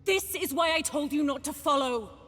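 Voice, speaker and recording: Sharp proud young noblewoman, high voice, bitter, game drama, big reverb. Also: normal speed, soft background music. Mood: harsh, urgent. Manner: sharp, haughty, accusing. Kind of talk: dramatic video game voice acting.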